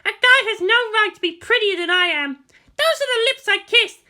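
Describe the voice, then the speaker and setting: Hotheaded teen girl for a story, super high n shrill, swings all over, accusing and blunt. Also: animated, moderate pace, sharp tone, heavily acted. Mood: furious. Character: fiery, indignant teenage redhead girl pilot. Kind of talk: dramatic fan fiction character reading.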